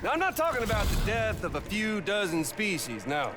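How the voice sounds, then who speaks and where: A man's voice: sits high, wildly swooping pitch, brisk, background noise. A man playing a taunting, theatrical villain who drawls and gloats about doomsday in a video game. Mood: menacing, taunting. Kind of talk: video game cutscene acting. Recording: loud music over the voice.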